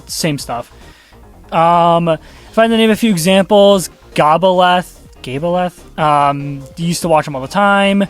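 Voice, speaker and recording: Male YouTube commentator, high-ish pitch, sarcastic, rambling, profane, light music bed underneath. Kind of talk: casual video commentary.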